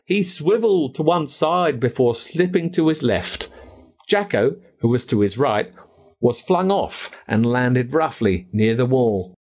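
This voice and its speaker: A man with an Australian accent reading a spooky children's story aloud in a dramatic, drawn-out way. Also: medium pitch, lively intonation, a little mellow, slight background noise.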